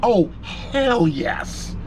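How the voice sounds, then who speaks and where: A man's voice: sits high, sing-song, extremely slow, noisy background. A middle-aged man giving a casual, opinionated review to camera, speaking informally and emphatically with repetition and some mild swearing. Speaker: audiobook reviewer.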